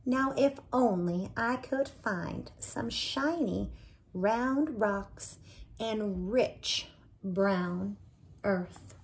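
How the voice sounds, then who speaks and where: A woman's voice: mid-range voice, very slow, low-quality recording. A woman doing a hopeful hat-maker. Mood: earnest.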